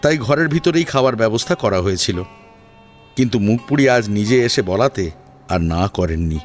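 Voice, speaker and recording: A man's voice: lowish voice, animated, normal speed, soft background music. A man narrating a scripted family drama as a storyteller, in a dramatic audio-story style.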